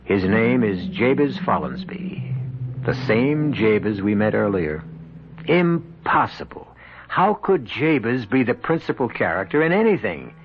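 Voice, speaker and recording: A host narrating a seventies radio mystery. His lowish voice moves dramatically, sly and deliberate, in a dull broadcast recording over music. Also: big pitch swings. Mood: wry, suspenseful. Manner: theatrical, deliberate, teasing. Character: sly, theatrical storyteller host.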